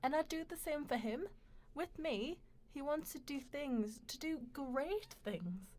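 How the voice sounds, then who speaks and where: Young mistress, radio play, female actor: high-voiced, very expressive, proud, ambitious, cutting. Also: a little slow. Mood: defiant. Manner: defiant, impassioned, cutting.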